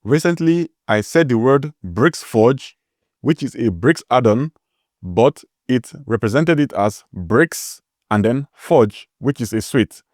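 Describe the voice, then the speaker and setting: Man, Nigerian accent, tutorial host, explaining patiently, middle pitch, dry close sound. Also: takes it slowly, no echo. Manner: steady, explaining step by step, spells out words letter by letter.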